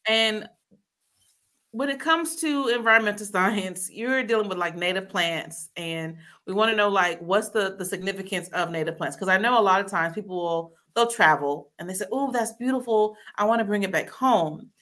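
A woman's voice: medium pitch, normal speed, a bit bright. A woman hosting a casual interview, chatty and laughing often, who spoke Korean first as a child. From raised in a military family. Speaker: host.

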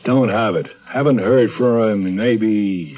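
Deep, cagey private eye. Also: male voice, measured pace, slightly rough, no echo. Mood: cagey, brusque. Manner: brusque, evasive, sardonic. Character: cagey, mercenary male private investigator. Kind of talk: 1970s American radio drama acting. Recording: dull 1970s radio recording.

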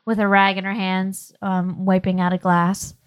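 A woman's voice: mid-range voice, flat delivery, moderate pace, clean tone, no echo. A woman acting as game master, improvising narration for a tabletop role-playing game.

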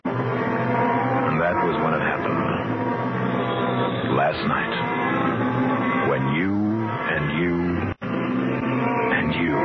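An actor playing an earnest man who recounts an alien encounter and warns mankind, speaking dramatically with emphatic pauses in 1960s radio drama style. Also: low-pitched, lightly acted. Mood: grave, dramatic. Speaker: actor narrating as the lead character. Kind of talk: 1960s radio drama first-person narration. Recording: dull vintage radio broadcast, loud music.

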